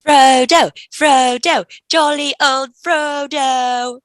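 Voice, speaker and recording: A woman's voice: high voice, steady tone, drawn-out words, no room sound, studio quality, voice pushed up. A woman voicing merry hobbit party guests, calling out exuberantly in a storybook reading. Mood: merry, cheering. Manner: exclaiming, exuberant.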